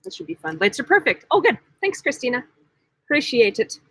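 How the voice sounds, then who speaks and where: A woman's voice: on the high side, sing-song, a little fast, sharp tone, breathy edge, dry recording. Chatty young woman, dry close sound. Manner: chatty, giggly, many likes. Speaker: vlog host. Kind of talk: casual live-stream Q&A.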